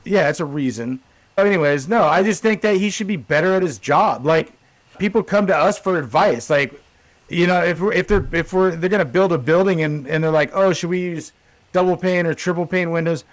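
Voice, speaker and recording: A man co-hosting a podcast in loose, rambling chat, stacking fillers and restarts as he talks. His voice sits a bit high for a man. The sound is dry and close, with no room around it. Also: a little fast, dry recording, poor audio. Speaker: podcast co-host.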